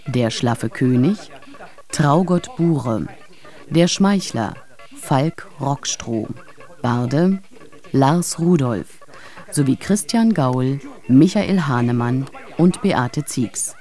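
An announcer reading scripted radio drama credits in a measured, formal way. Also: medium pitch, expressive, a little mellow.

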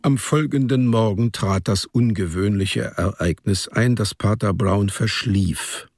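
A man narrating a literary radio drama as a storyteller, measured and deliberate. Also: on the low side, a little mellow, soft background music.

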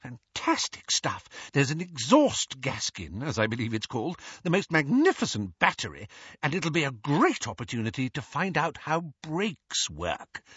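A man's voice: a little high, fairly bright tone, husky, no room sound, voice pushed up, exaggerated voice. A man voicing a kindly, fussy middle-aged wizard father who hesitates with fillers. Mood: sheepish. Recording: dry, dull lo-fi audio.

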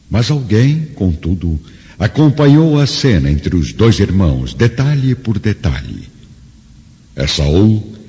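A man's voice: deep voice, mellow. A man with a Brazilian accent giving solemn, theatrical scripted narration for a radio drama. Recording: muffled, reverberant studio with music.

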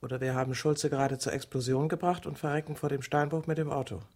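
An actress playing a bitter, lovesick middle-aged woman who has turned killer, speaking in a deliberate, sardonic, brooding way in a radio drama. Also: rumbling low, fairly even intonation, darkish tone, no echo. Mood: dry, probing. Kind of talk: radio drama acting with intimate inner monologue.

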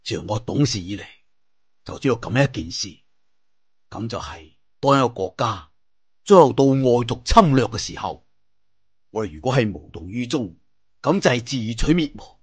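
A Cantonese man voicing a righteous martial-arts leader with grave, measured oratory in an audio storybook. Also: medium pitch, lively intonation, husky, no echo. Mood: solemn, resolute.

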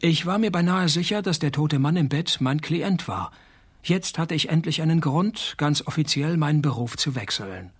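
A man playing a wisecracking, cocky private detective in a radio play, speaking with dry, deadpan irony. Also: a little high, husky. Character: wisecracking, cocky male private detective posing as night porter. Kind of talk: radio play dialogue and first-person detective narration.

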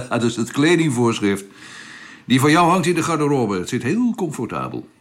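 An actor playing a brisk, friendly spaceship crewman in a radio drama. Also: mid-range voice, very animated, lightly acted. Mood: brisk, urging.

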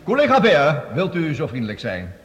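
A man's voice: on the high side, wildly swooping pitch. An actor playing an eloquent, sardonic scientist-statesman, giving theatrical formal debate speeches in a radio play. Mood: formal, assured. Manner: theatrical, oratorical, pompous, with dramatic pauses. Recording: long reverberant hall, radio play production.

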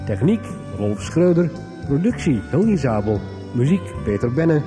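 A man's voice: fairly high pitch, big pitch swings, measured pace. A man reading scripted end credits as the announcer of a radio drama. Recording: radio broadcast over clear music. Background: theme music.

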